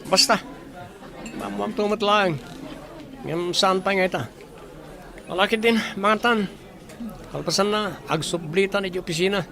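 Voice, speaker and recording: Male actor as sly, grudge-holding villain. Ilocano accent, high-pitched with heavy fry, quietly menacing drama delivery. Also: a little slow, long pauses, some chatter behind, heavily acted. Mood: sly, scheming. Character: scheming, vengeful male friend hiding a grudge. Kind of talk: Ilocano audio drama acting.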